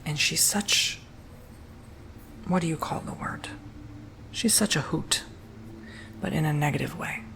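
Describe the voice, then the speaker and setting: A man whispering softly and gently through an ASMR roleplay, as if doing someone's makeup. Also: fairly high pitch, gravelly, low-quality recording. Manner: soft whispering, slow and gentle.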